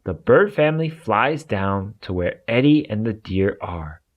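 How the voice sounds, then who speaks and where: A man narrating a children's storybook aloud in an expressive way for young listeners. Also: lowish voice, a little slow, muffled recording.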